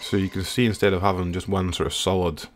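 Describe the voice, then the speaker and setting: A man's voice: sits low, flat delivery, quick pace. A man giving a casual, informal tutorial as a music producer, explaining in a hesitant way. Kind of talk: casual screen-recorded software tutorial. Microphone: voice-over recorded while working at computer.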